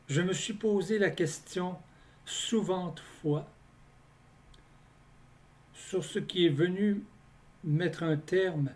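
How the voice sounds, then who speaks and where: Very slow-speaking man reciting poetry. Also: on the high side, mellow. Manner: deliberate, phrase-by-phrase delivery with pauses. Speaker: poet reading own poems.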